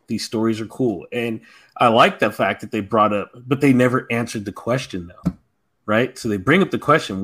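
A man's voice: on the low side, a bit bright, breathy edge. A man on a podcast panel, giving his opinions in casual review chat.

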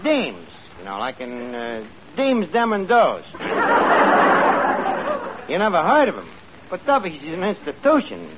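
A brash New York tavern manager who puts on highbrow airs in slangy, mangled grammar. The voice sits high and swings about freely. It is vintage radio comedy, with a noisy studio audience behind it. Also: male voice, huge pitch swings, a little slow, long pauses, highs cut off, a loud crowd. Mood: chatty. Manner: slangy, ungrammatical, blustering. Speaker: comedy show lead. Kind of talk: 1940s-50s radio comedy acting.

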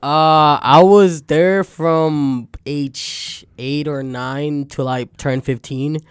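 Young man, Venezuelan accent, Spanish first language. Clear, smooth tone, dead-dry booth sound, rambling casual storyteller. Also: a little high, drawn-out words, dry recording. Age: young adult. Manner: rambling, frequent "like" fillers, relaxed storytelling. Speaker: podcast guest. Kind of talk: casual chat.

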